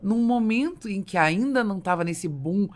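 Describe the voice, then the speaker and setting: A woman's voice: mid-range voice, expressive, normal speed. A woman with a Brazilian accent hosting a conversational business podcast and interviewing a guest.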